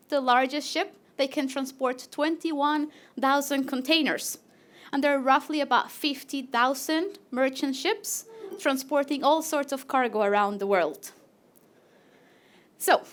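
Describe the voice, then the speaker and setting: A woman with a Latin American Spanish accent and a high, bright voice presents her research on stage. She talks in a friendly, animated way, with the odd filler and restart. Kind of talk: live PechaKucha talk to an audience.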